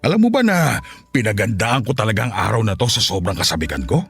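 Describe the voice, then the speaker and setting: An actor playing a lustful, coaxing middle-aged Filipino widower in a Tagalog radio drama. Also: male voice, lowish voice, sing-song, fast talker, a bit bright, breathy edge, soft background music, full character voice. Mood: lustful, eager. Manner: suggestive, coaxing, chuckling seducer.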